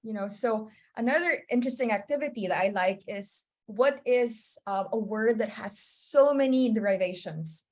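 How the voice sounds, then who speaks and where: A woman's voice: fairly high pitch, moderate pace, hardly any pauses. Chatty woman presenter on a video call. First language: English. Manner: chatty, often checks in with "you know?" and "okay?". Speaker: webinar presenter. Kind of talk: online teaching presentation.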